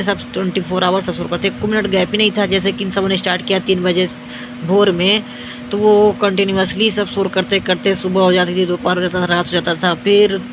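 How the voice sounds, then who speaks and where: A hoarse, tired young woman from India, talking to her viewers in a repetitive, boastful and scolding way. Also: on the low side, noisy background. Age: young adult. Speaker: vlogger. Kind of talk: self-filmed rant to viewers.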